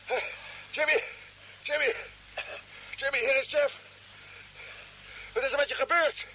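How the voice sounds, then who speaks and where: An actor playing an urgent, concerned space expedition commander in a vintage radio drama, with exclamatory delivery. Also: male voice, very high voice, steady tone, unhurried, sharp tone, heavily acted, rough recording. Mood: anxious concern. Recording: hissy old radio recording, background noise.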